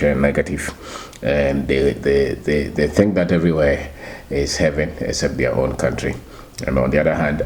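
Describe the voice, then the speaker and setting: A middle-aged man from Ghana with a Ghanaian accent, talking casually to his channel, unhurried and often repeating himself. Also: rumbling low, a little mellow, slight background noise. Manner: unhurried, repeats words and restarts, chuckles at himself. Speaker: channel host. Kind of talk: casual talk to camera.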